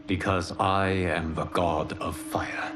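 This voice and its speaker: An actor playing a solemn, commanding fire god in a video game, speaking in a formal, measured, grave manner. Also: male voice, deep voice, flat delivery, over loud music. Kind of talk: cinematic video game dialogue.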